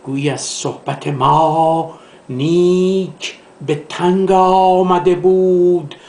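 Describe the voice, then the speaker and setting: A man from Iran, a literature professor, recites classical poetry in a measured, declamatory way, drawing out the line endings. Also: sits high, a little mellow. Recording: echoey, reverberant room sound.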